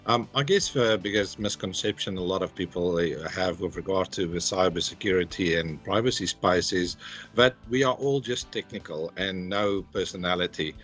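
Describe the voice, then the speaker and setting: A man's voice: low voice, fairly bright tone. A man working as a cybersecurity principal, talking casually in a workplace interview, hesitant with many fillers. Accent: non-Australian.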